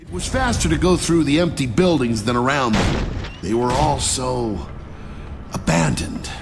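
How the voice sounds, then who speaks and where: A man's voice: mid-range voice, animated, measured pace, background noise, lightly acted. A man playing a cocky, sardonic army lieutenant in a video game, giving understated testimony. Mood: wry recounting. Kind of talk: video game acting, narrated testimony and battlefield dialogue. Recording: loud music behind the voice.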